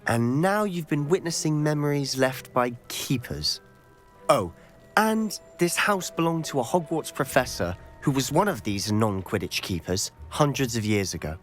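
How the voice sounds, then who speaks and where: A man's voice: mid-range voice, sing-song, moderate pace, soft background music, natural voice. A young man with a British accent playing an earnest, stubborn teenage wizard in a video game cutscene, intense and wry. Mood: puzzled, wry.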